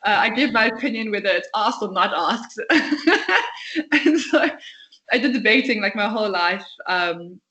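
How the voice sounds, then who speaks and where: A woman's voice: mid-range voice, expressive, a little slow, pushed and bright, dull audio. A young woman, a company founder, talking passionately and at length as a podcast guest. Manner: passionate, chatty, outspoken. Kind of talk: podcast interview. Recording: echoey room.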